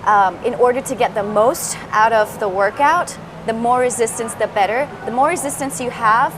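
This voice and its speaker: Female fitness instructor from Singapore, relaxed explainer, hesitant fillers, noisy background. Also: a little high, moderate pace. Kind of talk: interview answers.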